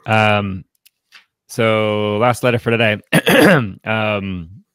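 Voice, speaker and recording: A man hosting a podcast, discussing in a casual way, haltingly, often repeating words and saying "like". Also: on the low side, a bit bright, clean tone, dry recording, clean studio sound. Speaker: podcast host.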